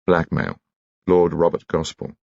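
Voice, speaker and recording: A man's voice: deep voice, fairly even intonation, a little slow, vocal fry, darkish tone, dry recording, muffled recording, heavily acted. A man voicing a dry, sardonic police inspector talking with his mother.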